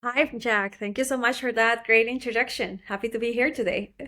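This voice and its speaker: A woman's voice: on the high side, steady tone, a little fast, sharp tone. A woman from Ecuador, a company co-founder talking earnestly as a guest in a casual interview, stretching some words as she speaks.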